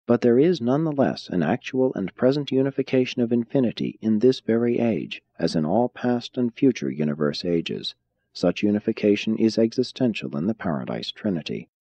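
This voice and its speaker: A man narrates a scripted spiritual audiobook with a low-leaning, very mellow voice. His intonation moves widely, yet the phrasing stays solemn and deliberate. The recording is slightly dull. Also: expressive. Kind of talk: scripted audiobook narration of philosophical religious text.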